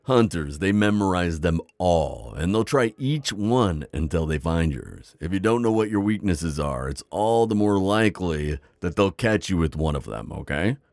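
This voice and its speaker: A man playing a friendly, seasoned vampire innkeeper in an ASMR roleplay, with a deep voice and a chatty, folksy, reassuring way of guiding the listener. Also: animated, moderate pace, creaky, few pauses, darkish tone, dry recording. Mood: advising. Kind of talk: immersive ASMR roleplay acting.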